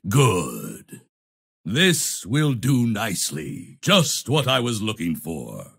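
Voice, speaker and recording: A male actor playing a proud, zealous holy knight leader in a fantasy video game, with a gravelly, husky voice and grandiose, solemn delivery. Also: mid-range voice, a bit bright, heavily acted. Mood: measured, satisfied. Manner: grandiose, solemn, deliberate declamation. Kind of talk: theatrical fantasy game voice lines.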